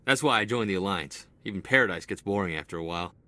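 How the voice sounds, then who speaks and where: An actor playing an eager, restless young male corporal in a video game cutscene, talking in an excitable, boyish way. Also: deep voice, fast, no room sound. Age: young adult.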